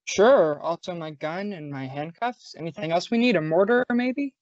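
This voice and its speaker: Woman playing low-voiced wry homicide inspector. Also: normal speed, a little mellow, no room sound, muffled recording, natural voice. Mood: teasing. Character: male homicide police inspector, affectionate, exasperated, wry. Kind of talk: old-time radio detective drama acting.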